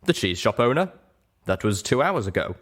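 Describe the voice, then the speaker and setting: A man voicing a calm, frank pony doctor in a dramatized audiobook, measured and matter-of-fact. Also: on the low side. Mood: mildly puzzled. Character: calm, frank pony doctor giving grave medical advice.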